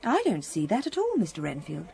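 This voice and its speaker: A woman playing a kind, brave young married gentlewoman, polite and well-spoken, in an audio drama. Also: on the low side, wildly swooping pitch, brisk, gravelly, soft background music, exaggerated voice. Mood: composed, firm. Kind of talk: British radio drama acting.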